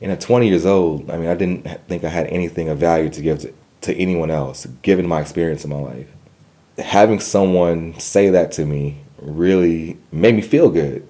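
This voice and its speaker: A man's voice: low voice, normal speed, dark tone. A young man telling his personal story in an interview, earnest, with a stutter and repeated words. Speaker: guest.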